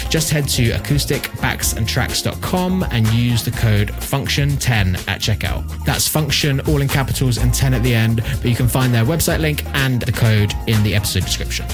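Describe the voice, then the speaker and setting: A man hosting a podcast, reading a scripted sponsor message to listeners. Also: mid-range voice. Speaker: podcast host.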